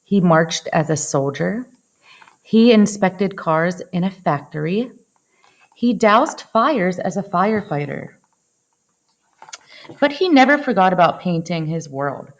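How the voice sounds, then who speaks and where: Female storyteller for picture-book narration, lowish pitch, very slow pace, expressive and dramatic phrasing. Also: muffled recording. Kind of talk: expressive children's read-aloud narration.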